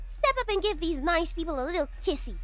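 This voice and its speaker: A woman voicing a playful, excitable scientist director in an amateur fan dub, talking in an animated, teasing way. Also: extremely high pitch, quick pace, faint music behind.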